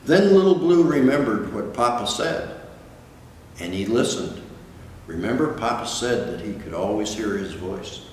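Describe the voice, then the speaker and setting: An older man reading a picture book aloud, pausing to add explanatory asides for listeners. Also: lowish voice, lively intonation, a little mellow. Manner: expository, points out pictures to listeners. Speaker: guest storybook reader. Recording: distant, very echoey hall, faint noise.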